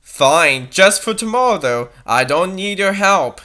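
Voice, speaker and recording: A woman's voice: on the low side, lively intonation, unhurried, pushed and bright. A woman voicing an anxious, bossy fourteen-year-old princess in a theatrical, exclamatory manner for a fan fiction story. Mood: haughty.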